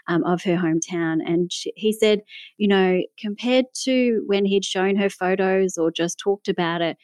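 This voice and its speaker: Clear-voiced Australian woman, fairly low. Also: darkish tone, dry recording. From Melbourne, Australia. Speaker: guest, pediatric doctor. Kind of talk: conversational interview storytelling.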